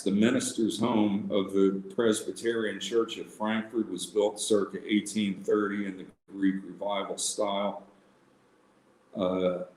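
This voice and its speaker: Man, lowish voice, halting read-aloud presentation, roomy echo, faint background noise. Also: a little mellow. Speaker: historical society president reading a paper.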